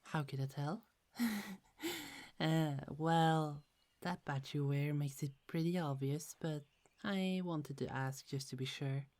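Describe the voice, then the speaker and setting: A woman voicing a gentle, chatty young goddess in an ASMR roleplay, speaking softly with frequent little laughs. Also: low-pitched, fairly even intonation, slow pace. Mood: friendly. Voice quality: soft, half-whispered, giggly.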